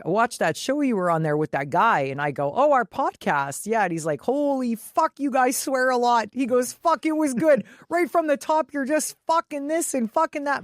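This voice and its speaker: A woman's voice: on the high side, no room sound, studio quality. A woman co-hosting a podcast in casual sports chat, opinionated and emphatic, swearing freely. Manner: freely profane. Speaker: podcast co-host.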